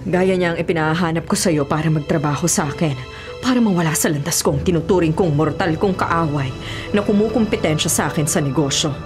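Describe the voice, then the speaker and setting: A woman's voice: low voice, brisk, natural voice. An actress playing melodramatic radio drama women, an anxious wife and a ruthless businesswoman. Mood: cold, scheming. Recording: music and crowd noise behind.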